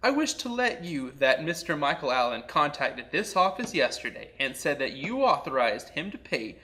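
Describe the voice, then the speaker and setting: A man with a fairly high, bright voice hosts a casual comedy bit. He talks in an easy conversational way, keeping up a mock-earnest front suited to a prank call. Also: big pitch swings, moderate pace. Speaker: comedy show host making a prank call.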